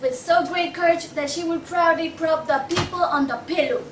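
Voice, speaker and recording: A woman acts a scornful female anarchist with a high voice and a declamatory, insulting manner. It is a stage play reading, heard from afar in an echoey room. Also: normal speed, recorded from a distance, noisy background. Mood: scornful, defiant. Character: fiery, scornful female anarchist railing against the bourgeoisie. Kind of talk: student table read of a stage play.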